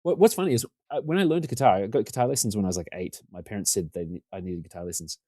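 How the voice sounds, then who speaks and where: Talkative New man, low and mellow. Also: rapid-fire, dry recording, studio quality. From New Zealand. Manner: talkative, rambling, self-deprecating. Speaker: podcast guest. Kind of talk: casual conversation. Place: home room.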